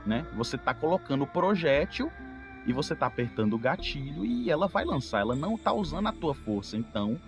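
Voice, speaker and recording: A man's voice: on the high side, big pitch swings, brisk, vocal fry. Brazilian man talking over prominent music. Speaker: podcast speaker. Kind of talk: casual podcast discussion.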